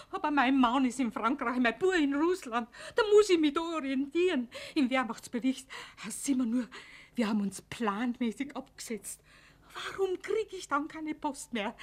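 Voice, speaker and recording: Scared viennese housewife, high pitched, pleading n stammery, radio play. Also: female voice, animated, moderate pace, a little mellow. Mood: distraught. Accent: Viennese Austrian. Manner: anxious, stammering, imploring. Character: frightened, pleading Viennese housewife, wife and mother.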